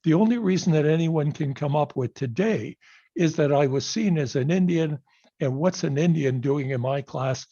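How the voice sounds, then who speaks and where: An older Canadian man speaks in a slightly higher register, with a husky edge to his voice. He tells his story in an earnest, measured way. The sound is dull and lo-fi, as if coming through a video call. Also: warm tone. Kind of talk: personal speech on a video call.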